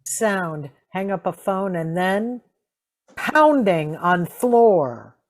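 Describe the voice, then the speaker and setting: A woman's voice: medium pitch, lively intonation, very slow. A woman reading out sound-effect directions for a radio play in a clipped, announcing manner. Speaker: sound cue reader.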